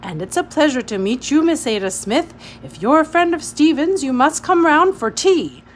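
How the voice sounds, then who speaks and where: A woman's voice: high voice, lively intonation, moderate pace, pitched above the performer's own voice. A woman voicing a cross, commanding old retired army colonel in a children's storybook reading. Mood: formal, hospitable. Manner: crotchety, commanding, insists on proper manners. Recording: noisy home recording.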